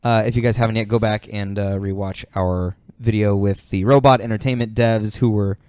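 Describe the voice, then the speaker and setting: A man's voice: on the low side, steady tone, moderate pace, darkish tone, clear, resonant tone, no echo, clean studio sound. A man hosting a podcast in casual, informal chat, swearing freely. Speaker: podcast host.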